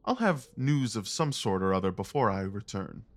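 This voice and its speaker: A man acting a restless, commanding gentleman detective in an audio drama, speaking formally and with intense emphasis. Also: lowish voice, sing-song, moderate pace. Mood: resolute, brisk.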